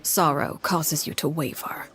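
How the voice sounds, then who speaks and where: A commanding woman assassin from a video game, pitched on the low side. She speaks formally and deliberately, cold and composed, with a quiet menace under the calm. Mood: cold, resolute.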